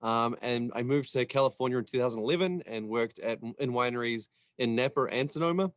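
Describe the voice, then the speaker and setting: A man's voice: medium pitch, brisk, no room sound. A man with a New Zealand accent and a mid-range voice speaks casually in a dry, close recording. He sounds like a winemaker talking informally to guests at an online event. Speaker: head winemaker. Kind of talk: casual self-introduction.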